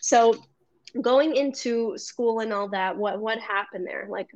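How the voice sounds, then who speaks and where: A woman's voice: a little high, a little slow, darkish tone, no room sound. A woman hosting a podcast who asks long, chatty questions and peppers them with "like.". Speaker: podcast host. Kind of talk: casual interview chat. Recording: dull, dry, lo-fi sound.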